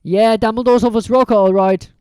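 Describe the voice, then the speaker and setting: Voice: medium pitch, animated, a little fast, mellow, dry recording, pitched above the performer's own voice, close to an everyday voice. A reader voicing a cheerful, loyal schoolboy who talks eagerly and a bit boastfully.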